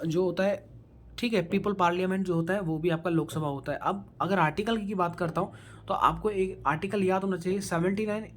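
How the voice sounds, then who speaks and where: A man's voice: sits high, a little hiss or hum. A man teaching an online exam-prep quiz lesson, posing questions, then answering and repeating them as he coaches viewers directly. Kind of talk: quiz-style lecture for online students.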